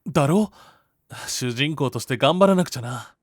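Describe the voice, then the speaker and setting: A man playing a kind, perceptive high school boy who gently teases and reassures, in an anime-style voice drama. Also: expressive, moderate pace, dry recording, clean studio sound, natural voice. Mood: friendly, eager.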